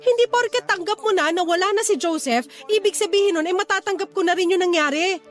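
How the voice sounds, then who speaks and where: High-voiced, bitter grieving mother. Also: fast, faint music behind. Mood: bitter, anguished. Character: grieving mother, withdrawn, bitter, defensive wife. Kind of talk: Filipino radio drama acting.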